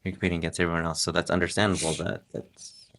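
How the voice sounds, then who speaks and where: A middle-aged man talking casually as a guest on his wife's podcast, relaxed and halting, with many restarts. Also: sits low. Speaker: podcast guest, host's husband. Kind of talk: casual interview talk.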